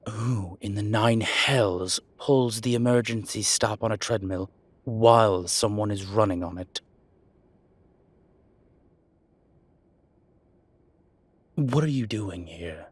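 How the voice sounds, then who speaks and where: Deep, husky, evasive demon professor played by a man. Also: no echo. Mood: startled, angry. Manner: halting, defensive, muttering asides. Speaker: voice actor. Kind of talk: audio roleplay acting, one-sided dialogue.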